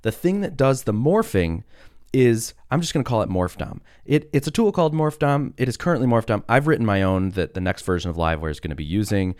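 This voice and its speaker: A man hosting a casual solo podcast, sick with a cough that has deepened his voice, rambling with many fillers and restarts. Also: lively intonation, fairly quick, darkish tone, dry recording, clean studio sound. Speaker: podcast host.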